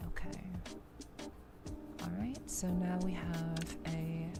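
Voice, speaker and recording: A woman hosting a soft-spoken ASMR gaming livestream, chatting casually with viewers and murmuring hesitantly as she thinks aloud, half whispering at times. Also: on the low side, level tone, slow pace. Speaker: VTuber livestream host. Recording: loud music behind the voice. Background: music.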